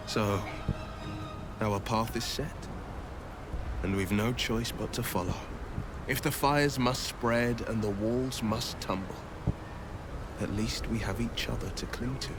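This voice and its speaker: An actor playing a grave, resolute fantasy game hero, delivering measured and stoic declarations. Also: male voice, medium pitch, natural voice. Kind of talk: dramatic fantasy game acting.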